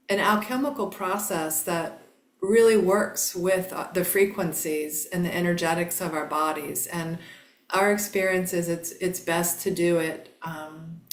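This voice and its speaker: Gentle woman presenter in an echoey room. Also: fairly low pitch. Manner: gentle, hesitant, with many fillers. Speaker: program host. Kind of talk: online webinar presentation.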